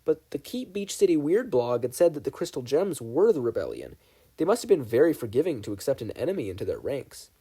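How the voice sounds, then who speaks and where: A man's voice: medium pitch, very animated, brisk, mellow, slightly rough. A man reading fan fiction aloud as a scripted narration. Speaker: podfic reader.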